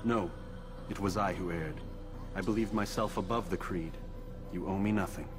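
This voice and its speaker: A man acting as a controlled, resolute game assassin. He speaks tersely and gravely in a lowish voice over loud music. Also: moderate pace, lightly acted. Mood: grave, earnest. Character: cool, resolute male assassin. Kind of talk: video game protagonist acting. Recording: dramatic music behind the voice.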